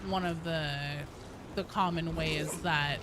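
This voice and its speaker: Lowish-voiced woman streamer rambling casually about game lore, swears a bit, clear noise behind her. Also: steady tone, takes it slowly. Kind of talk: casual lore explanation while gaming.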